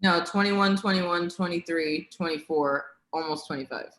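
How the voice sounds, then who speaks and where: A woman in her twenties hosting a casual livestream with friends, blunt and quick to swear as she tells stories. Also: on the low side, a bit bright, roomy sound, low-quality recording. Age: 20s. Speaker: livestream host. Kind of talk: casual chat among friends.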